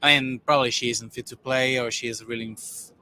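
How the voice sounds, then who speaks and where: A man co-hosting a tennis podcast, talking through the day's results in a loose, rambling way. His voice sits at a middling pitch, and a faint ambient hum lingers behind him. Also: steady tone, brisk, pushed and bright. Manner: rambling, many restarts and fillers. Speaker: tennis podcast co-host reporting on site. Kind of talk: casual conversational analysis.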